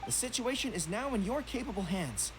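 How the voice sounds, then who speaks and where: A man's voice: high-pitched, lively intonation, fairly quick, noisy background. A man voicing a young, courteous, dutiful general in a video game, speaking formally and seriously. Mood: earnest, businesslike.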